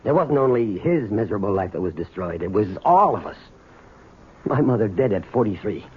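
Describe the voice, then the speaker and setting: An actor playing the bitter, unyielding son of an imprisoned robber in an old-time radio drama. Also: male voice, lowish voice, very animated, brisk, breathy edge, dull audio, heavily acted. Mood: bitter, anguished. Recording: thin, noisy old radio broadcast.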